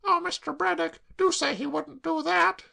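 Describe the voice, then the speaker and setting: A reader voicing a distraught young woman who sobs and wails in a high, breathless voice. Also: fairly even intonation, normal speed, gravelly, bright, forceful tone, heavily acted. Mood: tearful. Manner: sobbing, high-pitched and breathless.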